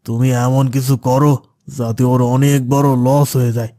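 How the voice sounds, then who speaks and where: A man's voice: medium pitch, level tone, moderate pace, dark tone, slight background noise, natural voice. A man voicing an envious, resentful schemer in a cartoon, speaking in an aggrieved manner. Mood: aggrieved, spiteful. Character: envious, resentful man plotting against his friend. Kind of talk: cartoon voice acting.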